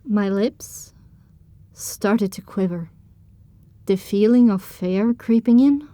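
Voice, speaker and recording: A woman's voice: medium pitch, takes it slowly, warm tone, lightly acted. A woman narrating an audio drama in the first person as a sensitive young pony filly, in a scripted storytelling style. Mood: anxious.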